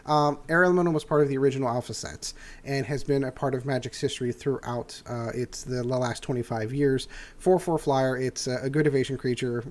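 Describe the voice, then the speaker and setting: A man's voice: mid-range voice, steady tone, a little fast. Older guy reviewing a card deck, kinda nasal from a cold, lots of uhs. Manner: rambling with many "uh" fillers and restarts. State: congested from a cold. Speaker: host. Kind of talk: casual card game review.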